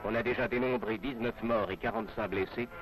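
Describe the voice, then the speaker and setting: A man's voice: lowish voice, steady tone, a little fast, music in the background, low-quality recording. A man narrating a scripted 1960s French newsreel in a formal announcer's manner, on a vintage film soundtrack. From France.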